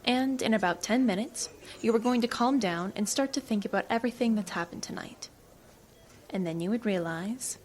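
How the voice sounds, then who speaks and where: An actress playing a cool, evasive government agent in an audio drama, with a dry, teasing, knowing manner. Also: female voice, mid-range voice, a little hiss or hum. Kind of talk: naturalistic audio drama acting.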